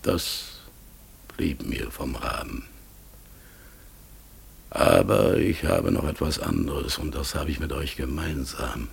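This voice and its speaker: A man playing a melancholy outcast talking raven in a radio play, solemn, gravelly and husky, sometimes sinking into whispers. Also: rumbling low, exaggerated voice. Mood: sad, wistful. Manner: slow, solemn, mournful.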